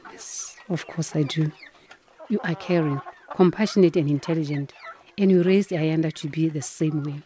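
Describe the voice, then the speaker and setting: Woman playing mellow, wise old grandmother. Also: low voice, normal speed, a little hiss or hum, close to an everyday voice. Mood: reassuring. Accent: southern African. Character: wise, reassuring elderly grandmother, patient, supportive. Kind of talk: radio drama acting.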